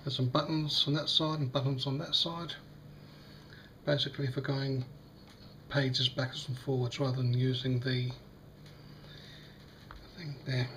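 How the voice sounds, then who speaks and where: A man with a slightly croaky voice, demonstrating a device in a casual, hesitant way with many fillers. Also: mid-range voice, flat delivery, measured pace, long pauses, noisy background. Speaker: tech unboxing vlogger. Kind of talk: casual product demo. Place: small indoor room at a table.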